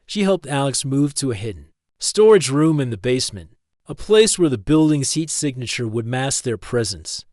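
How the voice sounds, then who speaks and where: A man's voice: mid-range voice, big pitch swings, normal speed, a little mellow, dry recording, studio quality. A man reading a scripted story as an audiobook-style narrator.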